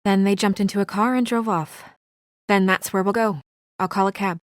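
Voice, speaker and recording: A woman's voice: medium pitch, steady tone, normal speed, dry recording, clean studio sound, close to an everyday voice. A woman playing an earnest, businesslike young woman with office authority and a dryly exasperated edge, in naturalistic video game acting. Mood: concerned, dry.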